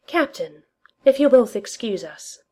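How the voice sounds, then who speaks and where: A woman's voice: a little high, animated, a little slow, darkish tone, voice pushed up. A woman voicing a proud male prince who speaks formally and dryly. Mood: polite formal. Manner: formal and clipped.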